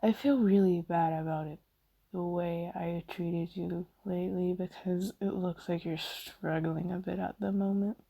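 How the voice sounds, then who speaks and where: A woman as a breathy, mellow, gentle demon comforter. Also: fairly low pitch, fairly even intonation, unhurried, low-quality recording. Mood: apologetic, gentle. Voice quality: soft, breathy, half-whispered. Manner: gentle, hesitant, reassuring. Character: kindly apologetic female sleep paralysis demon, gentle comforter. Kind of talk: ASMR comfort roleplay.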